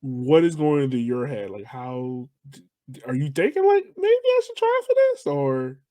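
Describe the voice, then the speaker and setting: Male podcast host, American accent. Loose, slangy interview chat with lively pitch swings and repeated phrases, dry close sound. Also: measured pace, dry recording.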